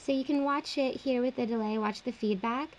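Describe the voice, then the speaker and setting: A young woman producer casually walking through her vocal processing in a home studio, relaxed and chatty with plenty of fillers. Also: sits high, a little fast, loud music behind the voice. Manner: relaxed, explanatory, uses fillers like um and like. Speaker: music producer. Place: small home studio indoors.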